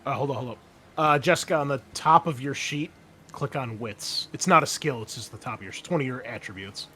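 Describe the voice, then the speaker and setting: A man running a tabletop game as game master, explaining and narrating casually in a halting, conversational way. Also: medium pitch, sharp tone, a little hiss or hum.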